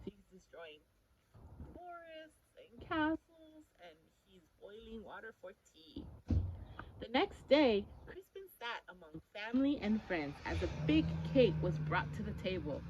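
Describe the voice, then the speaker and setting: A woman reading a picture book aloud to children in an expressive, emphatic storytelling style. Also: mid-range voice, unhurried, lo-fi sound, crowd noise. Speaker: story-time reader for children. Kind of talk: animated picture-book read-aloud with questions to listeners.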